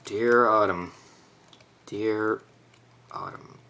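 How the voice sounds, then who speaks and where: Awkward, halting low-voiced teenage boy, played by a man. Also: fairly even intonation, bright, forceful tone, full character voice. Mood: awkward, regretful. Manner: halting, self-correcting, sighing. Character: awkward, self-conscious teenage boy mourning an acquaintance. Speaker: stage actor. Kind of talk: theatrical letter monologue.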